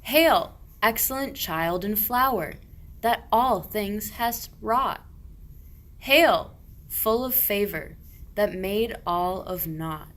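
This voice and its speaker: A woman's voice: medium pitch, animated, slow pace, a bit bright. A woman playing a pious, earnest medieval shepherd, declaiming rhymed verse in a radio play. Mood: adoring.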